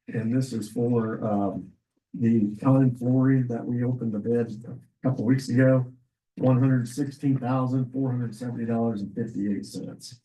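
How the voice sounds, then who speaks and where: Hoarse male administrator reading agenda items, businesslike, mid-pitched, recorded far off in a roomy hall. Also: mellow. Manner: plain, businesslike, with fillers and throat-clearing. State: losing his voice. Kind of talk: public meeting presentation. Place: county meeting room. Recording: echoey meeting room, distant mic.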